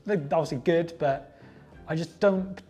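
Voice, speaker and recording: Higher-voiced man chatting over music. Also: measured pace, warm tone. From Wales. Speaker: co-host of a tabletop wargaming show. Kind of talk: casual chat with some reading out of game rules.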